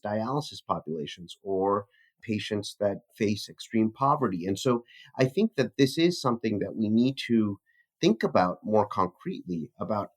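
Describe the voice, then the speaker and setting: A man answering as a physician researcher in a formal interview, measured and articulate with academic wording. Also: on the low side.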